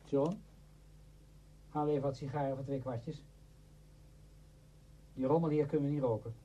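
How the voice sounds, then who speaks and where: An actor playing a cold, self-absorbed man with a secret in a Dutch radio play, speaking huskily and deliberately. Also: mid-range voice, steady tone, warm tone, highs cut off, lightly acted. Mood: curt, secretive. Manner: deliberate, halting when confessing. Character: cruel, self-absorbed male conductor with a secret, confiding.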